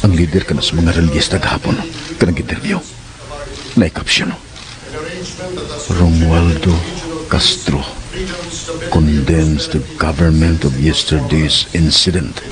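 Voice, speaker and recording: An actor playing a concerned, puzzled office boss in a radio drama, speaking conversationally with a Cebuano Filipino accent and some English. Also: male voice, rumbling low, fairly even intonation, measured pace, long pauses, crowd noise, rough recording. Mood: pensive. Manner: earnest, conversational, code-switches into English.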